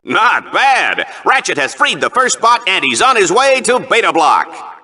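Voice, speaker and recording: An actor voicing a flamboyant, smarmy sportscaster who hypes deadly game show contests with theatrical patter for a video game. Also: male voice, high voice, unhurried, full character voice. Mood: hyped, showy. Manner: theatrical, over-excited announcer patter with smug asides. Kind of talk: exaggerated sports commentary.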